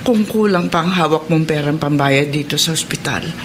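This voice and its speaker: A woman's voice: deep voice, moderate pace, noisy background, lightly acted. An actress playing a concerned, generous but probing older mother-in-law in a Filipino radio drama.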